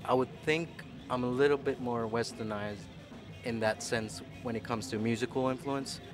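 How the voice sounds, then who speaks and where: A man's voice: mid-range voice, steady tone, moderate pace. Brief: male drummer from Sri Lanka, easygoing storyteller, music in background. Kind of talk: casual interview answers. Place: music club.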